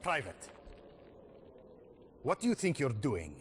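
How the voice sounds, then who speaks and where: An actor playing a cold, calculating male officer in a game cutscene who speaks calmly and with pointed menace. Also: mid-range voice, slow, a little hiss or hum. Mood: menacing. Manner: calm, pointed, quietly menacing.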